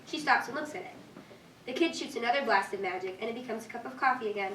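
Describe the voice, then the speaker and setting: A woman's voice: a little high, fast, a bit bright. A woman reading scripted stage directions as narrator at a live staged reading. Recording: distant echoey room, faint noise.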